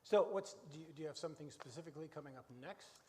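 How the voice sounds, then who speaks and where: Host, male, 60s, fairly high voice, folksy storyteller delivery, long room reverb. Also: fast talker, darkish tone, a little hiss or hum. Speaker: host of a church radio variety show. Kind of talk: live interview and scripted reflective monologue. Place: large church room, live before audience. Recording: echoey hall with long reverb.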